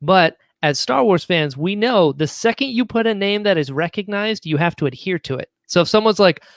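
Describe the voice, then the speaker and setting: A man talking casually as a podcast guest, with an animated, geeky enthusiasm. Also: sits high, normal speed, no echo, clean studio sound. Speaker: guest, audio drama producer.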